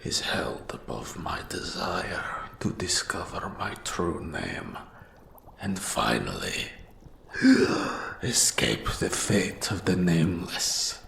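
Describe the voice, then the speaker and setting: A man playing an eldritch, melancholy keeper of forbidden lore in an audio drama, speaking formally and deliberately in a breathy, gravelly whisper. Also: low-pitched, fairly bright tone, echoey room, slight background noise, exaggerated voice. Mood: bitter, brooding. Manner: slow, deliberate, formal, ominous phrasing. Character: eldritch, nameless old archive custodian, formal, patient, melancholy mentor. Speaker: mentor in forbidden magic. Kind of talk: scripted roleplay audio drama.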